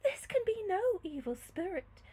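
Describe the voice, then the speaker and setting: A woman's voice: very high-pitched, very animated, a little slow, warm tone, voice pushed up, heavily acted. A woman voicing a composed, bold young princess in a gothic novel, formal and imperious. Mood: resolute. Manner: imperious, formal, archaic diction. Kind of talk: gothic novel read-aloud.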